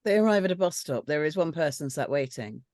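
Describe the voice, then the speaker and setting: A woman's voice: low-pitched, lively intonation, quick pace, darkish tone, no echo, studio quality. A woman reading scripted stage directions aloud as a narrator at a script reading.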